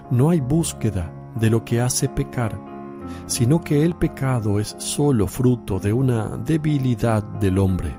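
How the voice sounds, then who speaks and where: A solemn male spiritual teacher in a religious radio play. He speaks very slowly and deliberately, with calm authority, at a medium pitch. Music plays clearly behind the voice. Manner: slow, deliberate, preaching phrasing. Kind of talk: religious radio drama acting.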